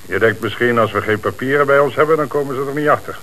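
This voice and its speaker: A man's voice: medium pitch, bright, forceful tone, natural voice. Sardonic, stern police chief played by a man. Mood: stern, probing. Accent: Dutch from the Netherlands. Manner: brisk, sardonic, authoritative. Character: stern, shrewd police chief interrogating suspects. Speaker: actor. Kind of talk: Dutch radio play acting. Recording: hissy old radio recording.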